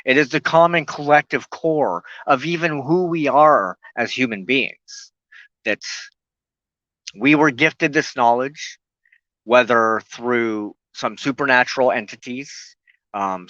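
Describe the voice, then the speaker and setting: Slow, halting man with a bright voice. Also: mid-range voice, dry recording, dull audio. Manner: halting, pausing often mid-sentence. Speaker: host of a spiritual broadcast. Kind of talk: unscripted spoken teaching on folklore.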